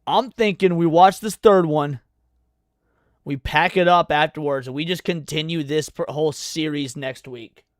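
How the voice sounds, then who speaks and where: Male livestream host, fairly high voice, very dry recording, casual reaction commentary, informal. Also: normal speed, dry recording. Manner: informal, swearing.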